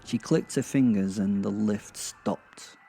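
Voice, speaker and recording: A man playing a sardonic, awkward werewolf who narrates in a halting, self-mocking way with theatrical emphasis, in a horror-comedy audio drama. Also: fairly low pitch, warm tone, faint music behind. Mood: uneasy. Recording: clear background ambient noise.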